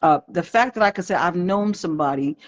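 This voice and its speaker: A woman's voice: fairly low pitch, brisk. A woman in her fifties hosting a live stream chat, heartfelt and reflective, greeting viewers by name as she talks. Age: 50s. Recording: dull lo-fi livestream audio.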